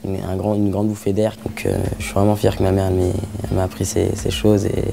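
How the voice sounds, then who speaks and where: Young man, low pitch, gravelly fry, very dark tone, casual, hesitant. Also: completely flat delivery, fast talker, background noise. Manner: casual, hesitant, filler words. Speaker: artist's son. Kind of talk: interview.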